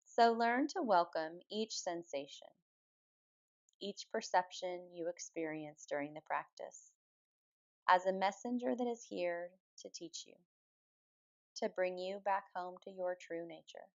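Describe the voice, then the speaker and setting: A woman with a fairly low voice guides a deep relaxation practice. She speaks calmly and soothingly, giving measured instructions as a yoga nidra teacher. The recording is a little dull and muffled. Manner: calm, measured, soothing delivery. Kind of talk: guided meditation, slow spoken relaxation instruction.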